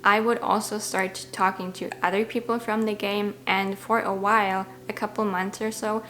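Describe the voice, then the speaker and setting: Vlogger, female, 20s, German accent, native German, mid-pitched, candid reflective delivery, faint hiss behind. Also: level tone, normal speed, a bit bright. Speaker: language-learning vlogger. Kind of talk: personal storytelling to camera.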